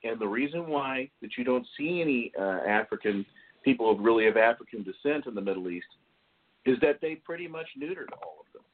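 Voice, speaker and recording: A man hosting a podcast discussion, speaking haltingly and often repeating his words. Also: medium pitch, expressive. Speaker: podcast host. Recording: tinny phone-like call audio.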